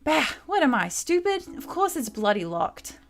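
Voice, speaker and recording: A woman's voice: sits high, very animated, moderate pace, pitched above the performer's own voice. A woman voicing a cocky young female thief from a visual novel game, grumbling in slangy talk. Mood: irritated.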